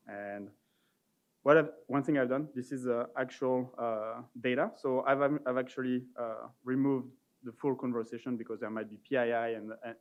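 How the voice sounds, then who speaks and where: A man giving an informal, somewhat halting live product demo as a conference presenter. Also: mid-range voice, highs cut off.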